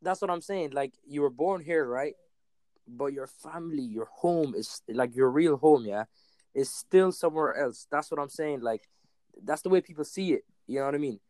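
A man's voice: on the high side, normal speed, darkish tone, no room sound. A man in a heated casual discussion, insistent, repeating himself to keep the floor. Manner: insistent, interrupts to hold the floor.

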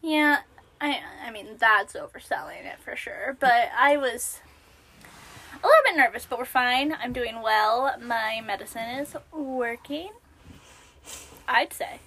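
A young woman co-hosting a podcast, chatting casually with a naturally high voice and a self-deprecating manner, sometimes dropping to a whisper. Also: unhurried, bright, forceful tone, rough recording. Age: young adult. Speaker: podcast co-host. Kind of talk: casual chat.

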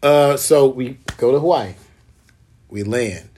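A man's voice: medium pitch, takes it slowly, mellow, breathy edge. A man, co-hosting a casual chat and telling laid-back stories full of acted-out quotes. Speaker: podcast co-host.

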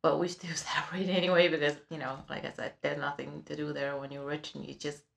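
A middle-aged woman with a Vietnamese accent telling her life story in an interview, halting with many fillers and restarts, recorded in an office with background noise. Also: sits low, little pitch movement, creaky. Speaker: interviewee, realtor. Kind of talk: personal life story in conversation.

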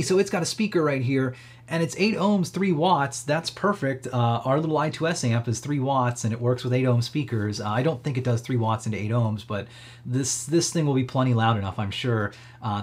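Male livestream tech host, mid-pitched and a touch husky, informal and rambling, thinks aloud while demonstrating. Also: a little fast. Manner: conversational, rambling, frequent hedging asides. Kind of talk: casual live tech demo, thinking aloud.

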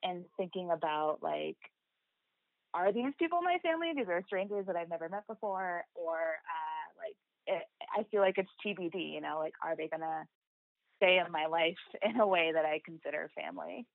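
A woman's voice: lowish voice, moderate pace, vocal fry, no room sound. Ohio woman guest, kinda hesitant, lotsa uhs, phone-line sound, dry. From United States (Ohio). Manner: hesitant, many "uh" fillers. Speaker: podcast guest. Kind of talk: casual conversation.

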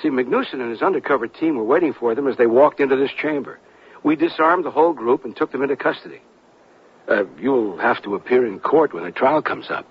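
Husky, genial governor. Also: male voice, mid-range voice, expressive. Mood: explaining. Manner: measured, official, chuckling. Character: genial, authoritative male state governor, slyly amused. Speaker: radio drama actor. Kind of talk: 1970s radio drama acting. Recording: dull 1970s radio recording.

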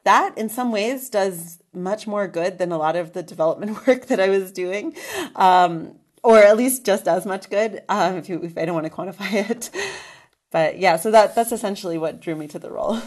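A woman's voice: medium pitch, normal speed, darkish tone. A woman guest in a casual interview, talking earnestly with many restarts and fillers. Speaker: guest, magazine editor.

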